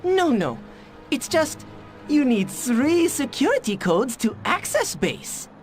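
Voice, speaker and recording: An actress playing a proud, clever Japanese scientist in a video game, with a Japanese accent and stilted, formal phrasing. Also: female voice, high voice, big pitch swings, takes it slowly, darkish tone, faint music behind, heavily acted. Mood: explaining. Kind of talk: video game cutscene voice acting.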